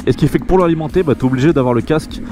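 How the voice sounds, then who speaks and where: A man's voice: on the high side, racing, background noise. A man giving an informal, enthusiastic product review to his viewers as a motorcycle vlogger. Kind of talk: casual product review voice-over. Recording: loud music behind the voice. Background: loud music.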